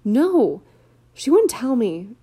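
A woman's voice: on the high side, very animated, takes it slowly, dark tone, breathy edge, voice pushed up, heavily acted. Woman reading as a distressed teenage schoolgirl. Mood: upset. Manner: tearful, pleading.

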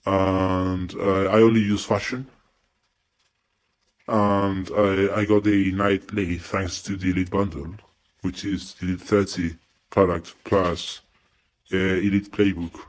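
Spanish guy w part american accent, low flat husky voice, kinda hesitant testimonial. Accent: mix of Spanish and American. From Spain. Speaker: student giving a coaching testimonial. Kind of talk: casual spoken testimonial.

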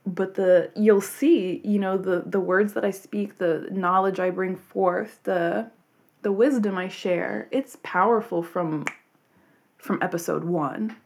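A woman's voice: medium pitch, steady tone, slow, creaky, a little mellow. Woman podcaster talking to herself kinda, earnest, rambly, restarts a lot. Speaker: podcast host. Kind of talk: reflective unscripted monologue.

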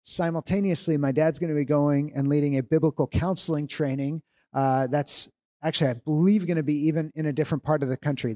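A man leading a church family meeting, giving relaxed, joking instructions to tables of people. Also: on the high side. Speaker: church leader.

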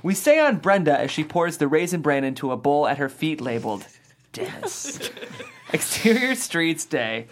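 A lively narrator reads scene directions from a comedy script. The voice has a medium pitch and moves around a lot in intonation. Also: moderate pace.

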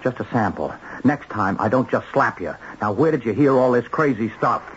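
An actor playing an evasive, bullying counterfeiter in a vintage radio drama, with folksy, blustering speech. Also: male voice, mid-range voice, moderate pace, lightly acted. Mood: angry. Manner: folksy, blustering, stammering under pressure. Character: evasive, bullying small-time male counterfeiter. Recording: dull, hissy old radio recording.

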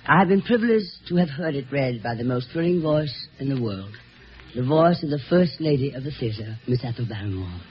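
A man's voice: mid-range voice, expressive, fairly quick, background noise. A man giving a formal, reverent introduction as a presenter on a 1950s radio show. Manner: reverent, ceremonious. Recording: muffled old 1950s radio broadcast.

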